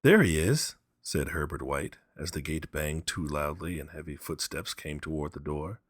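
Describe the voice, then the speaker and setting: A man narrating a literary story in an expressive, deliberate, dramatic reading. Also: extremely low pitch, no room sound, studio quality.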